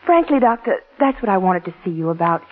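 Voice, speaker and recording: A young, scheming newlywed in an old radio play. Her voice is husky and moves widely in pitch, coaxing and intense. It comes through a narrow, tinny 1940s broadcast. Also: lively intonation, quick pace. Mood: anxious, pleading.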